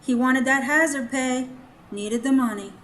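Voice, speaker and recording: A woman's voice: high-pitched, measured pace, a bit bright. A woman acting in a radio drama as a kindly, plainspoken boarding-house keeper with a folksy, sympathetic manner. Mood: somber.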